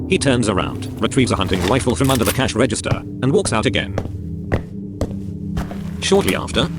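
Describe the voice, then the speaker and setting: A man's voice: mid-range voice, heavy background noise. A man reading scripted narration of dramatic action scenes as a storyteller.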